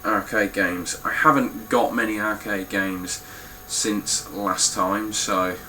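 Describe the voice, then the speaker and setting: A man's voice: lowish voice, fairly even intonation, takes it slowly, sharp tone, slight background noise, poor audio. A man with a stuffy, nasal voice giving a casual, blunt spoken review of his game collection, with frequent ums and some swearing.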